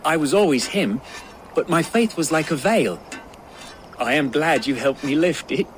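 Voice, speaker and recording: A wry young former zealot. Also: male voice, a little high, animated, unhurried, noisy background. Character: dry-witted young male former zealot.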